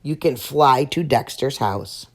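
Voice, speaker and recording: A woman's voice: a bass voice, slow pace, exaggerated voice. A woman voicing a helpful, thoughtful female spider in a storybook, musing and matter-of-fact.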